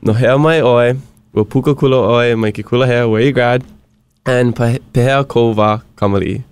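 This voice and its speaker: A man's voice: medium pitch, fairly even intonation, moderate pace, clear, resonant tone. A young man from Hawaii hosting a casual podcast interview in a small studio, upbeat and joking, moving between English and Hawaiian. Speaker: podcast host. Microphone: studio mic on boom arm.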